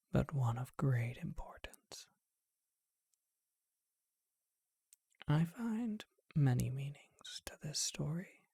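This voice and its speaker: A performer voicing a charming vampire who tells an old tale in a gentle, breathy ASMR whisper, unhurried and reflective. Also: medium pitch, dry recording, studio quality, full character voice. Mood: calm, reflective.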